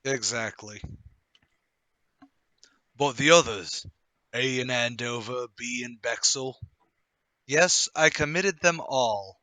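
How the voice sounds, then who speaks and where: Man acting a haughty, bright-voiced gentleman murderer. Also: mid-range voice, slow pace, pauses often, dry recording, voice pushed down. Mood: coolly boastful. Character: smug gentleman murderer, flustered then coolly confessing. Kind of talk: radio play table read.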